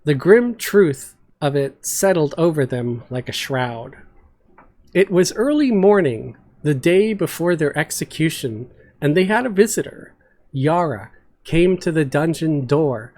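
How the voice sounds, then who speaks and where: A man's voice: on the high side, animated, measured pace, a little mellow. A man giving an amateur dramatic reading of a fantasy story as its narrator.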